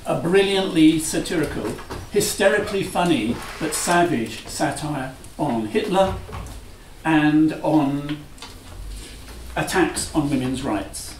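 A man introduces material to an audience in a fairly high voice. He sounds distant, in a roomy space with faint background noise. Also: lively intonation, slow pace. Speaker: presenter of the event. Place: small room with live audience.

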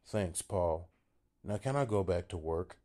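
A man voicing a depressed, washed-up golfer in his fifties who sounds weary and sulky, mumbling low. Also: lively intonation, a little slow, mellow. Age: 50s.

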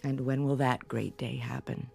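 A blunt, world-weary landlady of middle age in a radio play. She speaks in short, sardonic jabs that hide a soft spot. Music sits faintly behind her. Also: a bass voice, steady tone, normal speed, creaky, slightly rough, full character voice. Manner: dry, terse, sardonic. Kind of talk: radio drama acting.

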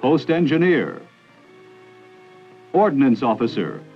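A man's voice: medium pitch, very animated, takes it slowly, muffled recording, soft background music. A man reading scripted 1960s educational film narration in a formal, authoritative manner with clipped lists, on an old film soundtrack.

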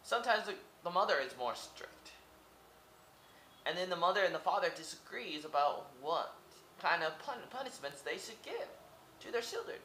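A man's voice: sits high, big pitch swings, moderate pace, bright, forceful tone, reverberant, a little hiss or hum, poor audio. A young man from Oregon rambling to his webcam in a small room, firing off rhetorical questions and repeating himself. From Oregon, United States. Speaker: vlogger. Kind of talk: casual monologue to camera. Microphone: webcam at close range.

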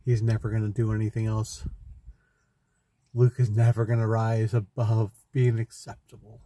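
Young man, husky voice, mellow tone, slow and halting, heartfelt confession in a parked car, phone held close. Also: on the low side, completely flat delivery. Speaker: vlogger. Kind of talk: emotional personal vlog. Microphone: phone camera close in front.

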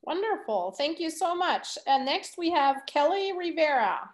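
Brief: female moderator, bright high voice, warm encouraging cues. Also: big pitch swings, measured pace. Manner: upbeat, encouraging. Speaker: panel moderator. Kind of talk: moderating a video panel.

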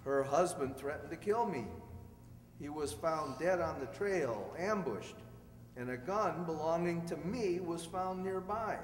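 A man's voice: fairly high pitch, animated, unhurried, a little hiss or hum. A man acting the part of an earnest middle-aged doctor in hiding, in an old-time radio drama, reading on stage. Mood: earnest confession. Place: stage in a community hall. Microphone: stand microphone. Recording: echoey hall stage, distant reverberant sound.

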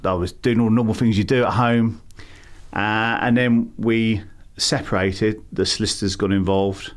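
Low-voiced London man, hesitant. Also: steady tone, normal speed. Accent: London English. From England. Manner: hesitant, many fillers and restarts. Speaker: campaign founder, guest. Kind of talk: interview answers.

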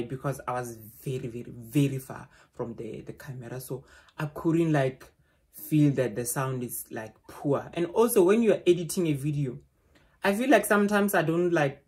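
A young South African woman with a loud voice, chatting casually to a phone camera at home as a vlogger, rambling with frequent restarts. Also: rumbling low.

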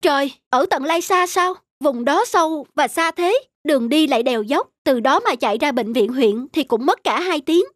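A woman's voice: high voice, animated, normal speed, hardly any pauses, no room sound, studio quality. A woman playing an earnest, decisive female doctor, speaking emphatically in a cartoon drama. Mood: urgent.

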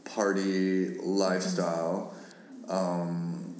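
A man's voice: deep voice, little pitch movement, very slow, darkish tone, echoey room, a little hiss or hum. A man hosting a casual interview, hedging and rambling with many fillers as he puts his questions. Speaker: interviewer host.

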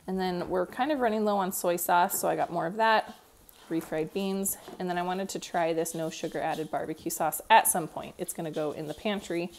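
A woman's voice: fairly low pitch, steady tone, a little fast, a little hiss or hum. A woman in her thirties chatting casually through a home cooking vlog voice-over, with light laughs. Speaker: family vlogger.

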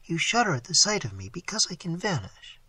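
Man voicing a soft, pleading young woman. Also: fairly high pitch, sing-song, measured pace, breathy edge, dry recording, exaggerated voice. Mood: tearful. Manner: soft, sighing, pleading delivery. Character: tearful, earnest young woman with mystical powers. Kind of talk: dramatic audiobook character reading.

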